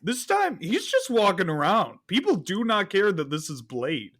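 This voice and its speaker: A man's voice: high-pitched, big pitch swings, normal speed, a bit bright. Man talking films casually. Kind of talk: casual film discussion.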